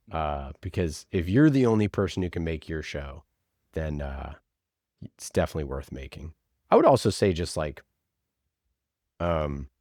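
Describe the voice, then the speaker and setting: A man with a low, deep voice talks as a guest in a casual interview. He is emphatic and informal, often slipping into little quoted asides. The sound is close and dry. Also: a little mellow, no room sound. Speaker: podcast producer, interview guest. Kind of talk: casual conversational interview answers.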